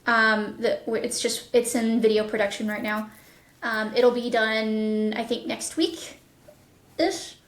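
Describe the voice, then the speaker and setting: A woman with a fairly high voice talks casually as an interview guest. She sounds like she is in an echoey room, explaining things in a conversational way as a production's voice director. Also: fairly even intonation, takes it slowly.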